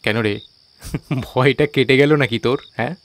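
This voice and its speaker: A man's voice: mid-range voice, moderate pace, creaky, lightly acted. Man acting a cocky, mocking young skeptic. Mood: scoffing. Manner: mocking, colloquial. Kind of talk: audio drama dialogue in a Bengali ghost story.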